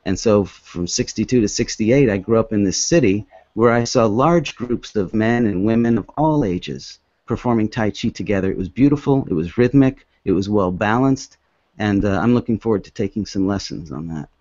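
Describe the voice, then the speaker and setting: A man in his fifties who grew up in Hong Kong, giving an earnest, halting presentation as an author with many restarts. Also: lowish voice, animated, darkish tone, dry recording. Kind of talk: presentation with slides. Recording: dull, dry lo-fi recording.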